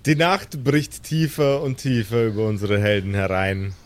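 A man's voice: on the low side, steady tone, moderate pace, a little hiss or hum. A man narrating an improvised audio drama as game master in a dramatic storytelling style.

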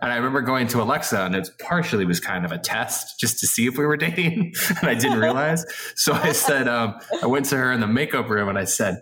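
A man's voice: medium pitch, expressive, fairly quick, few pauses, fairly bright tone, slight background noise. A man in his forties, a guest on a casual podcast, rambling and laughing at himself as he tells stories. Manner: laughing, rambling, self-deprecating. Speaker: actor guest.

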